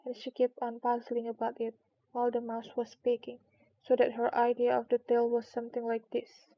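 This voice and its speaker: High-pitched, creaky woman reading a storybook. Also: completely flat delivery, a little fast. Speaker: streamer reading books aloud. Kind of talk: storybook narration. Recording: slightly dull, lo-fi sound.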